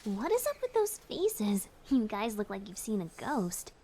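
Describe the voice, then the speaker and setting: A woman's voice: medium pitch, sing-song, measured pace, warm tone, a little hiss or hum. A woman voicing a modest young female student engineer in visual novel dialogue, earnest and technical. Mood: curious.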